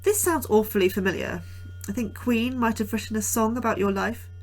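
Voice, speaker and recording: A woman's voice: fairly high pitch, fairly quick, rough recording. Woman doing a wry nervy young scientist, chatty and sarcastic, audio drama. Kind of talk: audio drama acting and narration.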